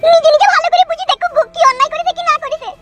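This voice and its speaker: A woman's voice: very high-pitched, very fast, a bit bright, faint music behind. A woman playing an indignant, argumentative village wife and mother in a comic cartoon, with a rural West Bengal accent.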